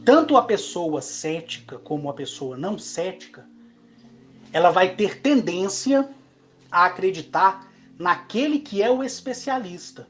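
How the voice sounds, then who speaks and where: Halting Brazilian man in his forties. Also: high voice, big pitch swings. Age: 40s. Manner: slow, emphatic, halting delivery in short phrases. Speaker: channel host. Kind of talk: monologue to camera.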